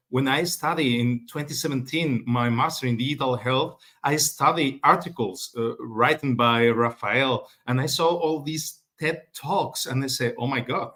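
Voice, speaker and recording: Hesitant doctor on a video call. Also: male voice, medium pitch, a bit bright. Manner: hesitant, non-native phrasing. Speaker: doctor, guest. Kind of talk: casual video call interview.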